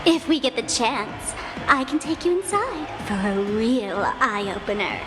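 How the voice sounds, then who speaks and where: A cheerful, mischievous young tavern host from a video game. She talks in a bubbly, showy, teasing way with a high, bright voice. Game music plays clearly behind her. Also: big pitch swings, a little slow.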